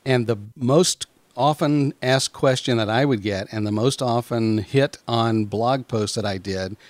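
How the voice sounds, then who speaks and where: A middle-aged man interviews a guest in a casual, conversational way. His pitch is average and he rambles, halting and doubling back on words. The sound is dry, with little room on it. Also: dry recording. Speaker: podcast host and interviewer.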